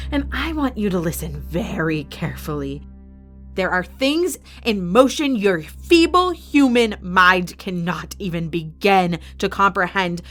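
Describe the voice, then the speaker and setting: Villainess, non-human arena boss: imperious, cold, animated pitch, threatening, audio drama with music underneath. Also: female voice. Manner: haughty, menacing, measured threats.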